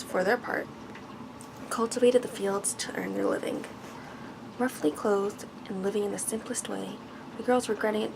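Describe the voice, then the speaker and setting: A woman softly reading a bedtime story in ASMR style, gentle and unhurried, with a breathy voice that often slips into a whisper. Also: mid-range voice. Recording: noticeable background noise.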